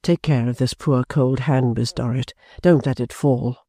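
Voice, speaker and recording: A man voicing a grave, kind gentleman who speaks earnestly with pity and a hint of reproach. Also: mid-range voice, fairly even intonation, measured pace, no room sound, clean studio sound. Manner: pitying, earnest.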